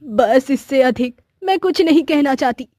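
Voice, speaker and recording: A woman voicing a secretive old village woman in a cartoon story, in a measured, guarded way. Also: high-pitched, animated, dark tone, no room sound, studio quality. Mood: guarded, reassuring. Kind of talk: Hindi cartoon story voice acting.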